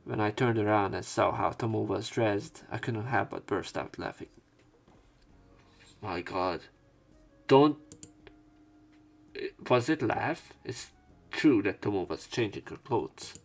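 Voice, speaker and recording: A man's voice: on the low side, takes it slowly, vocal fry, pauses often. A man reading a visual novel aloud as a let's player, acting out the dialogue expressively. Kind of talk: dramatic read-aloud narration of a visual novel.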